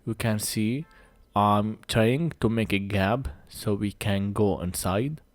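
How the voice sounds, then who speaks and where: A man who speaks English as a second language gives a hands-on repair tutorial in halting short phrases, a little short of breath. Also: fairly low pitch, no echo. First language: not English. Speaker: phone repair technician presenting a tutorial.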